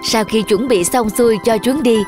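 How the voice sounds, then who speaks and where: A woman's voice: fairly high pitch, normal speed. A woman narrator reading a scripted children's fairy tale. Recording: children's music behind the voice.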